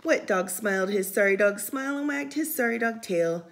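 A woman reading a picture book aloud for storytime, expressive and sing-song, with casual asides. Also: medium pitch, normal speed, vocal fry, slight background noise. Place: indoors, room by a window.

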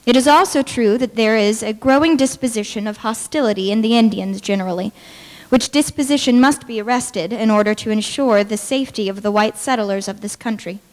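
A woman's voice: fairly high pitch, fairly quick. A woman in her twenties reading a historical account aloud formally at a podium microphone in a hall. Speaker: dramatic reader. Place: large indoor hall with brick walls.

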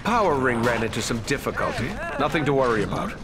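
A man's voice: medium pitch, sing-song, quick pace, close to an everyday voice. An actor playing a smug, scheming male fake superhero leader, cold and sure of himself. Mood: assured. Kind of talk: video game cutscene acting.

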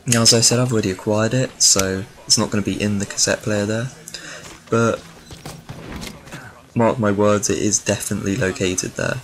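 Low-voiced man doing game walkthrough. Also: fairly even intonation, moderate pace, pauses often. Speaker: video game guide maker. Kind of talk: casual walkthrough commentary over gameplay. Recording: clear background noise, game ambience.

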